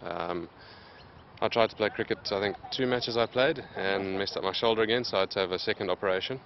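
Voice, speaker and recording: Deep-voiced man, plain and steady. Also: highs cut off, slight background noise. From Zimbabwe. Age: late 20s. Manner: steady, plain, many "um" pauses. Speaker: interviewed athlete. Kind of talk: casual interview answers.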